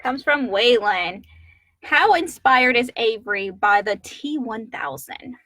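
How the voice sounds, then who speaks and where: A woman's voice: fairly high pitch, animated, takes it slowly, sharp tone, no echo. A woman co-hosting a casual live question and answer chat, informal, reading questions and chipping in briefly. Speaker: co-host reading out listener questions.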